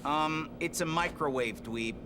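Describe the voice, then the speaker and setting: An actor playing a hot-headed, sarcastic teenage mutant turtle brawler in a video game, impatient and full of street slang. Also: male voice, fairly high pitch, a little slow, noisy background, lightly acted. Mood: impatient sarcasm. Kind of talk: video game cutscene voice acting. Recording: loud music behind the voice.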